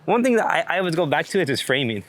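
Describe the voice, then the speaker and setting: A man's voice: fairly high pitch, big pitch swings, rapid-fire, fairly bright tone. A man talking casually as an interviewed photographer, rambling and enthusiastic, with slangy speech and plenty of swearing. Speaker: street and landscape photographer being interviewed. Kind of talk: casual unscripted interview chat. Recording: clear background noise, outdoor ambience.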